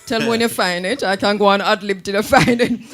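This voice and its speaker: Jamaican woman, radio host, mid-pitched voice, chatty, affirming. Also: fairly even intonation, fast talker. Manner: warm, affirming, chatty interviewer. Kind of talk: radio talk show hosting.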